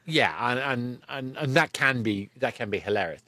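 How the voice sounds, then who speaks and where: Male interviewer-host, medium pitch; conversational explainer with emphatic repeats, no room echo. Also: animated, normal speed, pushed and bright. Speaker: podcast host.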